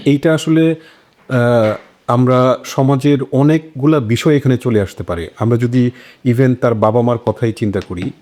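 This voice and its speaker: Male host, soft mellow dark voice, kinda halting, casual talk show chat. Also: medium pitch. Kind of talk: casual discussion, introducing topics.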